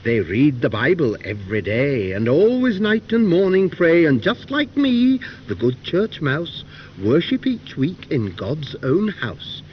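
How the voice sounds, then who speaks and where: A prim church mouse, played by a man, airs pious grievances in measured storybook verse. The voice is fairly high and swings widely in pitch. It comes off a dull old cassette. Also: expressive. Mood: wry, puzzled. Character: fussy, pious, aggrieved male church mouse. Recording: dull, faintly noisy cassette recording.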